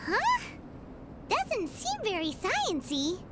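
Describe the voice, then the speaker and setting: A woman voicing a tiny, feisty, childlike floating fairy companion in a video game, with a squeaky voice and an excitable, blunt manner. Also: takes it slowly, heavy background noise, exaggerated voice. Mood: doubtful. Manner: excitable, blunt, speaks of self by name. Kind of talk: video game story dialogue. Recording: game audio with music underneath. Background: game music.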